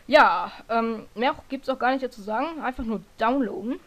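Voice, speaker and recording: Hoarse woman rambling through a tutorial. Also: a little high, measured pace. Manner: rambling, self-correcting, lots of fillers. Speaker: software tutorial maker. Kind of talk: casual screen-recording tutorial.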